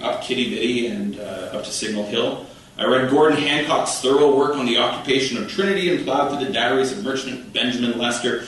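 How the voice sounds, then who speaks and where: A man in his thirties from Ontario with a Canadian accent, reading a prepared history lecture at a podium, with a measured, formal delivery and occasional dry asides. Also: medium pitch, fairly bright tone. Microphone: podium microphone, recorded from the room. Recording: echoey hall, distant from mic.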